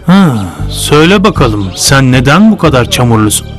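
A man's voice: mid-range voice, very animated, normal speed, lightly acted. An actor playing a scornful, idle, surly man in a religious cartoon, sarcastic and mocking. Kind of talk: dubbed religious cartoon acting. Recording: music behind the voice.

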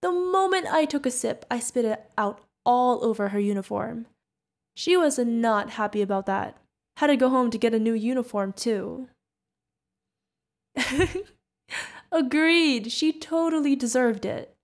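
Woman acting as friendly female alien bartender, slightly high voice, sweet and curious, chatty ASMR roleplay. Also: expressive, a little slow. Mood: amused, helpful. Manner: sweet, chatty, speaking directly to the listener.

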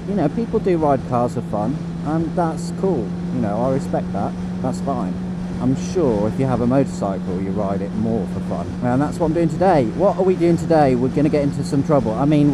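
A man vlogging casually while riding a motorcycle on country roads, rambling and chuckling to himself. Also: mid-range voice. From England. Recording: wind and engine noise from riding.

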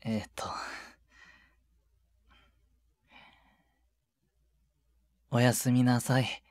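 A man playing a shy junior boyfriend in an intimate ASMR voice drama, speaking softly and hesitantly with breathy murmurs and casual politeness. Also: mid-range voice, little pitch movement, a little fast, dry recording, natural voice. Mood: tender, gently firm. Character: shy younger junior boyfriend, awkward at showing affection. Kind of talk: intimate ASMR situation voice drama, one side of a phone call. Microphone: close, dry microphone.

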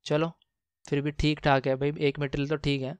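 Man, gaming channel host, smooth clear voice, dry close-mic sound, slangy exclamatory commentary, repeats himself. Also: on the high side, level tone, moderate pace, no echo. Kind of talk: casual excited gameplay commentary.

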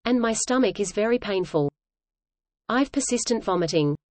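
A woman reading an English lesson dialogue aloud in a measured, even way, repeating each line for learners. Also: medium pitch, dry recording.

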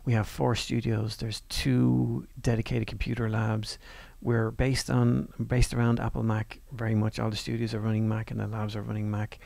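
A male lecturer talks through his slides in an easy, conversational way, pausing on fillers and restarting sentences. His voice is heavily gravelly with a lot of fry. It was recorded very dry and close, with no room sound. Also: fairly low pitch, little pitch movement, a little mellow, no echo. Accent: non-Northern Irish. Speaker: university course lecturer. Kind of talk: presenting over slides.

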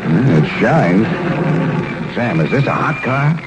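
A man's voice: fairly low pitch, sing-song, slow, lo-fi sound. A man playing a plain-spoken, curious mechanic sidekick in a vintage radio crime drama. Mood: excited, curious. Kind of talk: 1940s radio drama acting. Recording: noisy old radio recording.

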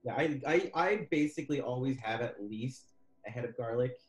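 A man in his thirties hosting a cooking chat over a video call, talking in a relaxed, conversational way. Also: mid-range voice, moderate pace. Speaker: host. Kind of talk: casual chat while cooking. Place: home kitchen, indoors. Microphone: video call webcam. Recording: echoey kitchen, faint music.

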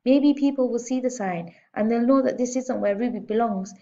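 Eager determined young girl, woman reading, high pleading voice, excited, slightly muffled audio. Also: normal speed, lightly acted.

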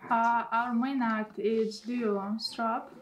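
A woman's voice: fairly high pitch, level tone, slow pace, recorded from a distance, poor audio. Russian woman, a bit off-mic. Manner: simple non-native English, light laughs. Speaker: aerialist and costume maker. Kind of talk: casual interview. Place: indoor costume workshop.

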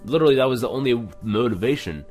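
A man's voice: mid-range voice, expressive, quick pace, darkish tone. A man hosting a let's play, reading game text aloud with casual reactions and often stumbling and correcting himself. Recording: music under the voice.

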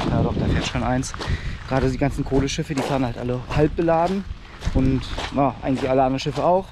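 A man in his thirties, chatting casually to a bike-mounted camera while riding a riverside path outdoors. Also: medium pitch. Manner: chatty, upbeat, thinking aloud. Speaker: cycling travel vlogger. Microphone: action camera mounted on the bike. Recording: loud wind and road noise.

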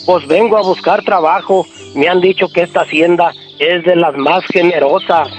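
A man acts a cocky, flirty Mexican country fellow in a comic radio soap. He speaks in a folksy, high-pitched voice over light music. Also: fairly even intonation, normal speed, natural voice. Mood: polite, folksy. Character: randy, cocky rustic ranch job-seeker. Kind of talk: comedic radio soap acting. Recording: music behind the voice.

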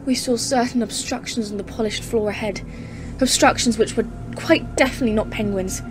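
A woman's voice: fairly high pitch, brisk, close to an everyday voice, poor audio. A woman playing a grave, awed professor in an audio play, speaking formally and intensely as a literary horror narrator. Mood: grave, haunted. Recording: music under the voice. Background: dramatic music.

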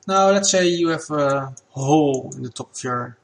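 A man whose first language is Dutch, talking through a software tutorial at his computer in a relaxed, plain step-by-step way. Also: fairly high pitch, moderate pace, slight background noise. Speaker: game asset creator.

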